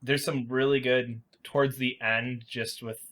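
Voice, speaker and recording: A man's voice: medium pitch, flat delivery, a little slow, a bit bright. A man hosting a casual podcast, explaining and reviewing video games in an informal, emphatic way. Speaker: podcast host.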